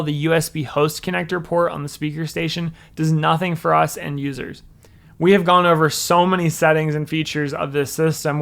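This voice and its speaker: A man with a slightly high-pitched voice narrates a scripted tech walkthrough. He sounds plain-spoken and instructional, like someone presenting a how-to guide. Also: fairly bright tone.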